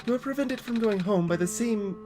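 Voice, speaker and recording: A man voicing a small, gentle robot fox from a video game, wistful and knowing, in soft, measured explanations. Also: high-pitched, lightly acted. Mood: reflective. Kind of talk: video game character dialogue read aloud. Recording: music behind the voice.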